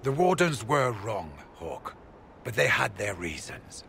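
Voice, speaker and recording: An actor playing a grave, principled warrior in a video game, measured and solemn. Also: male voice, mid-range voice, big pitch swings, creaky, background noise, exaggerated voice. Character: grave, principled male warrior of a fallen order. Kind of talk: video game cutscene acting.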